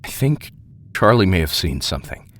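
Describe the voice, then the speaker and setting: An actor playing a grave, uneasy investigator reading out his letter in an audio drama, halting and ominous, hushed at times. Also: sits low, very animated. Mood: grave, brooding.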